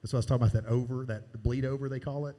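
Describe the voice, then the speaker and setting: A man's voice: mid-range voice, fairly even intonation, very fast, warm tone. A man giving casual, hands-on training on a church sound board, talking while he demonstrates. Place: church sanctuary. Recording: long hall reverb from live church sound.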